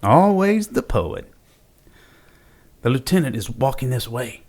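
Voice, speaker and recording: A man's voice: medium pitch, big pitch swings, measured pace, darkish tone. An actor playing a male medical examiner in an audio drama, precise and understated. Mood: dry teasing.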